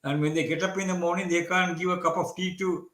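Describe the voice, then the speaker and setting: A man's voice: high voice, steady tone, fast talker, a bit bright. A man from Sri Lanka, giving earnest interview answers with stumbling restarts. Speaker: guest commentator. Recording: echoey room audio.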